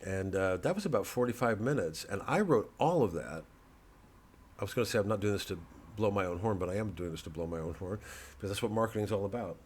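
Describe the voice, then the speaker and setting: Low-voiced man, rambling and wry. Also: animated, quick pace, a little hiss or hum. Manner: rambling, digressive; wry, mock-earnest. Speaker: comedian. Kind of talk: casual vlog promoting a live show.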